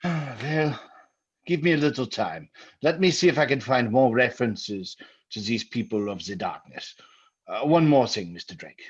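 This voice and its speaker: A man playing a grave, scholarly occult doctor in old-time radio style, speaking haltingly, deliberately and ominously on a dull old recording. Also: medium pitch, animated, breathy edge. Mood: ominous warning.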